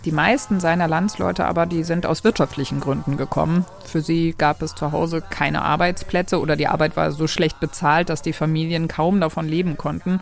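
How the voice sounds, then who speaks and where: A woman's voice: deep voice, steady tone, normal speed, vocal fry, few pauses. A woman co-hosting a history podcast, explaining in a conversational narrated way. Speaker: podcast co-host. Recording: dry studio sound with faint music.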